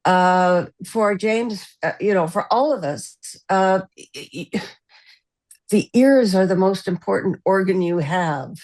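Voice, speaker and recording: A woman speaking as a panelist, explaining her principles in a deliberate, structured way. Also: mid-range voice, a little mellow.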